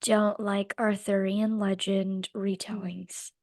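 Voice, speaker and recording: A woman's voice: mid-range voice, level tone, takes it slowly, a little mellow, no room sound, studio quality. A woman co-hosting a casual podcast chat, sick with a voice that cuts in and out, blunt and swearing freely. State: sick, voice going in and out. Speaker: podcast co-host.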